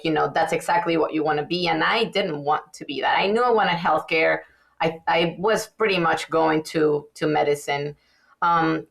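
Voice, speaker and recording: A woman's voice: lowish voice, gravelly. A woman from Venezuela, a guest dentist, talking casually in a podcast interview with lots of fillers and restarts. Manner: chatty, many fillers and restarts, animated.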